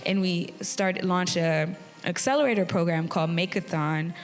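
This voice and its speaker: A woman's voice: on the low side, fairly even intonation, moderate pace, soft background music. A woman from Haiti in her thirties, a tech community founder speaking earnestly on a live theatre panel. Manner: earnest, friendly, with fillers and laughs. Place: theatre stage. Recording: long reverb of a theatre hall.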